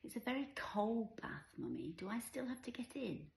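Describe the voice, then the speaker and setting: A woman's voice: a little high, very animated, normal speed, gravelly, roomy sound, pitched above the performer's own voice. A woman voicing an affectionate, earnest five-year-old girl in a story reading. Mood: disappointed. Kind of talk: audiobook character voice.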